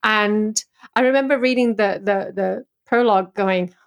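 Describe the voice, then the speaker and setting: A woman's voice: medium pitch, measured pace, gravelly, smooth. A woman in her thirties from Brazil, a native Portuguese speaker with a southern English accent, chatting and laughing easily as a podcast guest. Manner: chatty and laughing, with many restarts. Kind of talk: casual chat.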